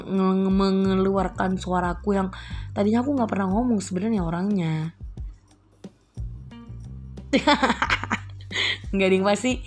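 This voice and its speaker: A woman's voice: medium pitch, a little mellow. A woman talks alone into her podcast mic, in a mid-height voice that wanders and trips over itself. She giggles often and pokes fun at herself as she goes. Faint music sits under her voice. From East Java, Indonesia. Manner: giggly, rambling, self-deprecating. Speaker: housewife podcaster. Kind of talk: casual solo podcast monologue.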